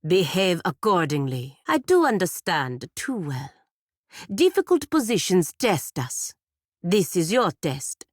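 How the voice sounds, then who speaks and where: A woman's voice: fairly low pitch, big pitch swings, slow, no echo, studio quality, full character voice. A woman playing a proud, stern godlike warrior in a video game, speaking formally and bluntly with haughty disdain. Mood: stern warning. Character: proud, stern godlike female warrior serving her republic. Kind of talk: scripted video game character dialogue.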